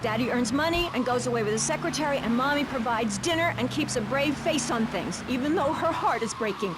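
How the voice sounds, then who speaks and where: Shrill-pitched, self-righteous suburban mom on satirical radio. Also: female voice, expressive, fairly quick. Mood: indignant, flustered. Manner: ranting, self-righteous, easily flustered. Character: judgmental, fuming, brittle suburban mother on satirical talk radio. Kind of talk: satirical radio panel acting. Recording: loud street and car noise behind.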